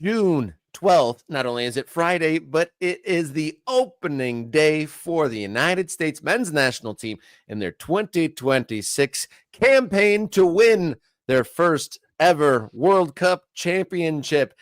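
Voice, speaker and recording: A man's voice: a little high, animated, takes it slowly, few pauses, dry recording, clean studio sound. Teasing man hosting soccer talk. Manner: joking, teasing. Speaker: show host. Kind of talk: casual sports talk.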